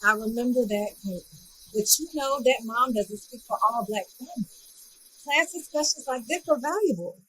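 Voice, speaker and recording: A woman's voice: a little high, moderate pace, a bit bright, a little hiss or hum, natural voice, poor audio. An actress playing a passionate, firm teacher in an audio drama, making her case persuasively. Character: passionate, firm female teacher championing marginalized students.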